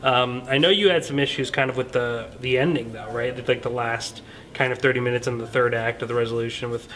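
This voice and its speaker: A man with a medium-pitched voice speaks as the host of a casual film discussion. His manner is earnest and conversational, and he often qualifies his points as he works through an opinion. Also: steady tone, fairly quick, a bit bright. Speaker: film review show host.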